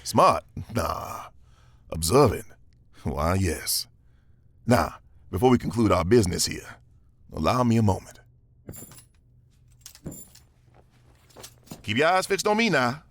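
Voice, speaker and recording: An actor playing a cocky, dangerous gunslinger in a Western audio drama, with a gravelly Southern American drawl and theatrical, taunting delivery. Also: male voice, fairly low pitch, big pitch swings, a little slow, pauses often, fairly bright tone, full character voice. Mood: menacing calm.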